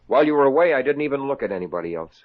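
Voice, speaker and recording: A man's voice: medium pitch, animated, racing, close to an everyday voice. A man playing a bumbling eager young salesman. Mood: lovestruck. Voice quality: stammering, goofy. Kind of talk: 1950s radio comedy acting. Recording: muffled old radio broadcast.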